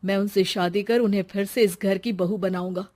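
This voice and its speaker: A woman's voice: medium pitch, steady tone, normal speed, darkish tone, natural voice. A woman voicing an earnest, protective young college man in a cartoon moral story, speaking in a pleading, righteous way. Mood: determined.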